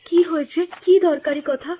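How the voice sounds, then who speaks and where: Woman playing a high-voiced devoted village girl. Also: fairly even intonation, moderate pace, mellow, slight background noise, natural voice. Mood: gentle, earnest. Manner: emotional, heartfelt. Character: devoted, kind-hearted young village granddaughter. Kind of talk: cartoon dialogue acting.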